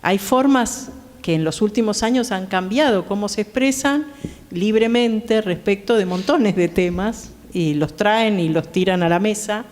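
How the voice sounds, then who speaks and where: Woman, middle-aged, Argentine accent, conversational panel talk, medium pitch, long hall reverb. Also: measured pace, a little mellow. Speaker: theatre director, panel guest. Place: theatre, live panel.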